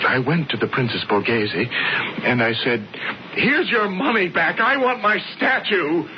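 An actor playing a distraught sculptor who grew rich, pleading and excitable with halting, emotional speech, in a radio drama. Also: male voice, a little high, a little hiss or hum. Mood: wistful. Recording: dull vintage radio broadcast, music behind.

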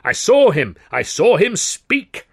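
A man's voice: high-pitched, sing-song, takes it slowly, creaky, breathy edge, pitched above the performer's own voice, heavily acted. A man voicing a stern, painfully serious upper-class English businessman in a storybook, pompous and blustering. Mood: insistent disbelief.

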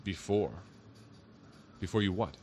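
A man acting a doubting police officer in an audio drama, wry and placating. His voice sits fairly low, with casual, questioning delivery over faint background noise. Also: measured pace, a little mellow, natural voice. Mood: skeptical.

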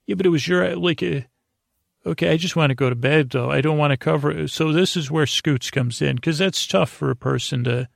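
Creaky-voiced man rambling softly through a bedtime podcast. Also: a little high, no echo, studio quality. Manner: meandering, halting, repetitive, droning. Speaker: podcast host. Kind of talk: rambling bedtime podcast monologue meant to lull listeners to sleep.

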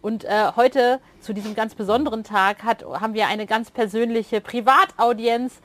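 A woman's voice: fairly high pitch, steady tone, normal speed, a bit bright. A middle-aged woman co-hosting a podcast in a casual, chatty interview style. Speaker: podcast co-host.

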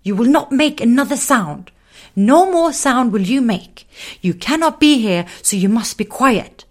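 A woman's voice: a little high, lively intonation, unhurried, pitched above the performer's own voice. A woman voicing a small, fussy blue man creature who scolds insistently in a storybook. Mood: scolding.

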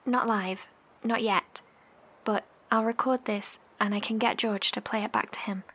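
An actress in an audio horror drama playing a worried, caring survivor broadcaster, hesitant, gentle and breathy. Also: female voice, medium pitch, steady tone, normal speed. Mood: concerned.

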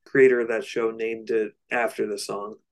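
A man with a fairly low voice talks through an album as a podcast co-host. His delivery is casual and explanatory, with some hesitant searching for the right phrase. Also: level tone, moderate pace.

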